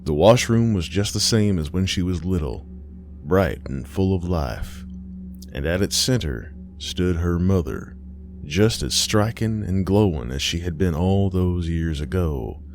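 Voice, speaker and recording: A man reading a horror story as scripted, dramatic narration, measured and suspenseful, with deliberate pauses. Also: sits low, animated, darkish tone, soft background music.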